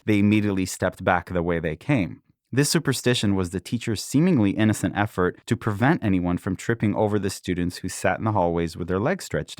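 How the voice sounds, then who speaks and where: A man in his forties, born in Israel, calmly reading aloud from his own book as a podcast host, with an occasional soft chuckle. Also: lowish voice, dry recording, clean studio sound.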